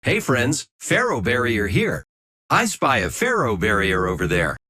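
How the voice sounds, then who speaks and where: A friendly game fighter with a low, husky but bright voice. The cheerful callouts swing widely up and down in pitch. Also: female voice, huge pitch swings, a little slow, no room sound, studio quality, full character voice. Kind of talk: video game character callouts.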